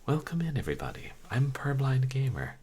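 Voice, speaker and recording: A man live-streaming a video game, talking calmly and softly, with a rambling, casual flow full of fillers. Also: mid-range voice, fairly quick, breathy edge. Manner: soft-spoken, calm, rambling with fillers.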